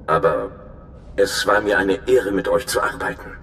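Ein Schauspieler als ernster, berechnender Informant und Spion in einem Videospiel, der eindringlich erklärt. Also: male voice, deep voice, lively intonation, moderate pace, creaky, a bit bright, heavily acted. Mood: resigned. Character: earnest, scheming male spy informant. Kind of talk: dramatic video game dialogue in German. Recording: echoey, noisy hologram transmission.

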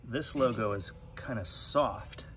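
A man's voice: lowish voice, slow pace, a bit bright. Guy griping about a product into his phone out on a deck, normal voice, lots of outdoor noise behind. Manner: plain-spoken, annoyed, pointing things out. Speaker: product reviewer. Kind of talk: casual handheld product review. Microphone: phone camera in hand.

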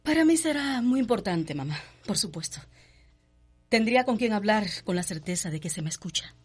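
Husky-voiced defiant young Cuban wife. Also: female voice, medium pitch, very animated, moderate pace. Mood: reserved. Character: defiant, wounded young married daughter of planters. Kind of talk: radio soap opera acting.

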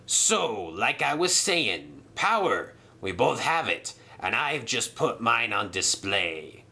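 Smug sailor villain, smooth and gloating, bright tone; a man reads him. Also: mid-range voice, sing-song, slow, full character voice.

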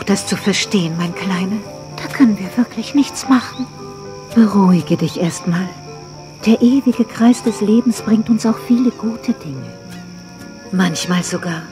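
A woman plays an old grandmother dinosaur in a children's radio play. She is caring and solemn, speaking at a medium pitch over clearly audible music. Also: a little slow, lightly acted. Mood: gentle, resigned. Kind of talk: children's audio drama acting.

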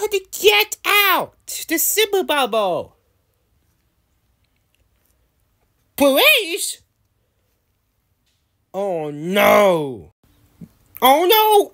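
A woman voicing a villainous male frog for amateur cartoon clips, gloating in a halting, stammering way. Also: fairly high pitch, a bit bright. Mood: panicked. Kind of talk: amateur improvised character voice clips.

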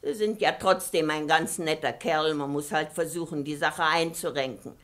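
A woman's voice: deep voice, normal speed, fairly bright tone. An actress playing a stern, sardonic maidservant in a 1950s radio play, commanding and motherly, speaking with deliberation. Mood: soothing, practical. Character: stern, bossy maidservant, scolding yet motherly and complicit. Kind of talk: 1950s German-language radio play acting.

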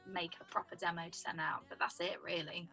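Young uk woman, husky but bright voice, chatty, video call from her room. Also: on the low side, flat delivery, brisk, faint music behind. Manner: chatty, explains with lots of "like" and "do you know what I mean". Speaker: songwriter guest. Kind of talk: casual video call interview. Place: home room indoors. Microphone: video call webcam.

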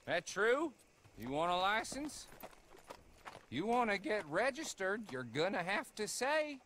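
A folksy Old West sheriff, with a high, bright voice that swings around a lot. He is dry and officious, a western video game character. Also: big pitch swings, unhurried, a little hiss or hum, full character voice. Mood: dry, official. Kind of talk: video game cutscene acting.

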